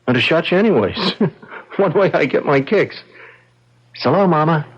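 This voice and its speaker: An actor playing a sadistic, taunting killer and thief in a radio drama, with a husky voice. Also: male voice, on the high side, lively intonation, moderate pace. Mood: gloating, taunting. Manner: taunting, callous. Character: sneering, sadistic young male killer and thief. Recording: dull old radio recording.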